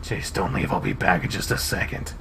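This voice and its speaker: A man voicing a large, blunt, crude male wolf who talks in a gruff mumble. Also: medium pitch, moderate pace, fairly bright tone, slight background noise, natural voice. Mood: low, hushed.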